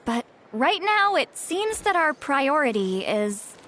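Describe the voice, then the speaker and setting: Game role: confident young adventurer girl, high voice, expressive, unhurried delivery. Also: slight background noise, full character voice. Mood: pensive. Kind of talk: video game voice acting.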